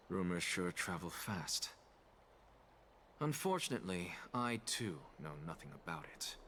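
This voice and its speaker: A man's voice: low-pitched, big pitch swings, measured pace, long pauses, bright, forceful tone, slight background noise, heavily acted. A man voicing a curt, aloof professor in a video game, dry, dismissive and grave.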